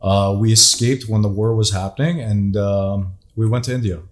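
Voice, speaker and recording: A man's voice: lowish voice, fairly even intonation, normal speed. A man born in Iran and raised in Canada, a podcast guest in casual chat, giving short, jokey replies.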